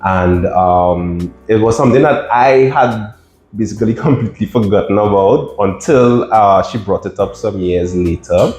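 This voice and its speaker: A Trinidadian man hosting a casual interview, relaxed and encouraging with frequent short replies. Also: fairly low pitch, normal speed, reverberant, soft background music. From Trinidad and Tobago. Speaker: host.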